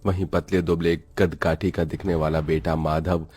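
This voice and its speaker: A man's voice: sits low, level tone, moderate pace, a little mellow, breathy edge, soft background music. A man reading scripted, dramatic narration as a storyteller.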